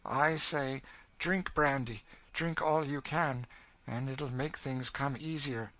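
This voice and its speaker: A man's voice: medium pitch, slow, sharp tone, no echo, voice pushed up. A man voicing a bitter, despairing older woman in a storybook, with a fatalistic and emphatic delivery.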